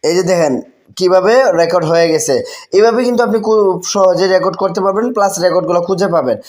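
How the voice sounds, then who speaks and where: A man's voice: high-pitched, fast talker, darkish tone. A man with a Bangladeshi accent hosting a casual tech tutorial, repeating phrases and mixing in English words. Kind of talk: casual spoken screen-recording tutorial.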